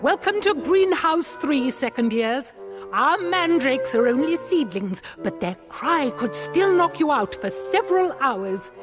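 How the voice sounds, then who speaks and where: Casting: kindly witch teacher, high and lively voice, brisk and cheery, game scene with music. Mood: cheery, instructive. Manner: instructive, cheery. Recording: music behind the voice.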